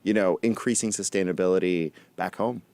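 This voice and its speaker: A man's voice: low voice, fairly even intonation, a little fast, darkish tone, no echo. A man chatting casually as he interviews a guest as talk show host.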